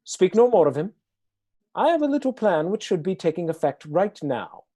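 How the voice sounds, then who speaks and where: A commanding villainous earl speaks in a dark, mellow voice whose pitch swoops widely. He is smooth, cold and condescending, a schemer in a radio play. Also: big pitch swings, moderate pace. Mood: scheming.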